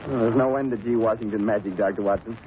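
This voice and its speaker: A noisy 1930s radio recording features a male announcer with a fairly low voice. He delivers scripted host and sponsor talk in the style of the period. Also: fast talker, narrow, tinny audio.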